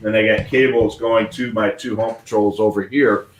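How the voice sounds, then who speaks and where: A man's voice: on the low side, fairly even intonation, moderate pace. Echoey American man chatting casually. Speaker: host of a live scanner hobby show. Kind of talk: casual live chat. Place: indoor room at a desk. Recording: echoey room.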